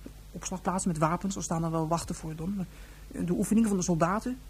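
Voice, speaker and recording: A woman's voice: lowish voice, fast talker, a little mellow, slight background noise, natural voice. A woman playing an earnest, argumentative young boy in a science-fiction radio drama, stumbling over words in eagerness to explain. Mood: earnest, worried.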